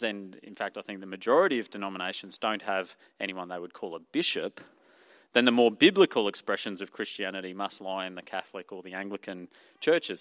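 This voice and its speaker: A man's voice: fairly low pitch, fairly bright tone. Australian man preaching in a reverberant hall. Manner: earnest teaching tone with many fillers and restarts. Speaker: pastor. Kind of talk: sermon.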